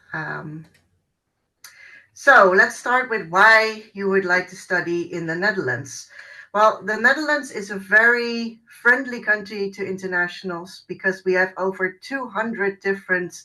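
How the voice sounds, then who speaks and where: Bright-voiced woman presenting a webinar. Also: mid-range voice, poor audio. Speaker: university student recruiter. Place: online video call.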